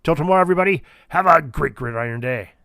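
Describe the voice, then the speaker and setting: A man's voice: fairly high pitch, animated, fast talker, sharp tone, dry recording, studio quality. A man hosting a sports history podcast, talking informally in a rambling, folksy way. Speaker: podcast host.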